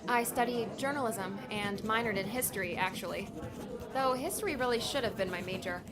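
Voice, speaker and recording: A woman's voice: mid-range voice, brisk, lightly acted. Woman as a candid young woman amid crowd murmur. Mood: chatty. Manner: polite, candid, self-deprecating. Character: polite, self-effacing young woman, debt-burdened would-be travel journalist. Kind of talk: audiobook drama acting.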